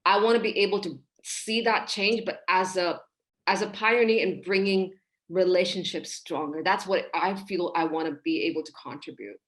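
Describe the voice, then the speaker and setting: A woman's voice: fairly low pitch, moderate pace. A woman in her thirties from Hong Kong speaks as a podcast guest on a video call from a small room. She talks in a casual, heartfelt way and gestures freely as she explains her ideas. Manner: warm, expressive, gestures while talking. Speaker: guest, relationship coach.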